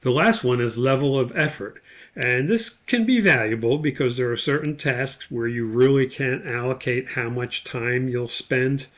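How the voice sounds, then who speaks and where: A man's voice: mid-range voice, normal speed, a bit bright. A man instructor explaining material in a loosely scripted lecture, plain-spoken with opinionated asides.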